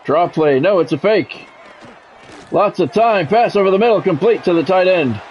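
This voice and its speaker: A man's voice: high-pitched, animated, moderate pace, muffled recording, a little hiss or hum. A middle-aged man giving live play-by-play of a football video game, mixed with offhand, conversational chat and casual swearing.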